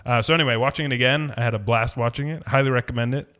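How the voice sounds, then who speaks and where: A man speaks quickly in a medium-pitched voice as a podcast co-host reviewing films. He is opinionated and emphatic, with an informal, casually profane way of chatting. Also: steady tone, a bit bright. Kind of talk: casual movie review chat.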